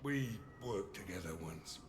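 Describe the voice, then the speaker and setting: Swooping, sardonic veteran mercenary. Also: male voice, low voice, animated, a little slow, echoey room, big-room sound.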